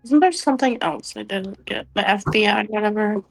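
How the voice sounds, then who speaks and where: A woman's voice: medium pitch, a little fast, faint music behind. A woman chatting casually as a tabletop game player, blunt in manner. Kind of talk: casual chat.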